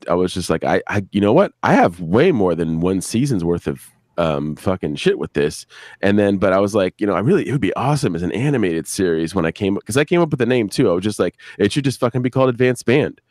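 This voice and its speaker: Man, middle-aged, very low voice, dry close sound. Halting, sweary, casual storyteller on a live stream. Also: animated, darkish tone, no echo. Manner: rambling, halting, crude swearing. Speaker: podcast creator, interview guest. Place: video live stream with a banner behind.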